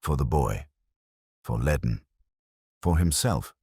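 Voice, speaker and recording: A man voicing a calm, methodical police inspector in a mystery story, soft-spoken, formal and even. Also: very deep voice, slow pace, slightly rough, no room sound, studio quality, full character voice. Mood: quietly grave. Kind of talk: storybook detective dialogue.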